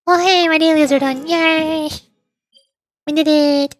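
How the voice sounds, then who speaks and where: A woman livestreamer chatting casually over a game in a playful, theatrical way. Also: high voice. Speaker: vtuber streamer.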